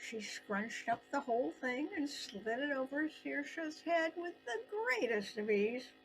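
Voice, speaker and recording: An older woman narrating her own personal story in an expressive, deliberate way with dramatic pauses. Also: sits high, over music, poor audio.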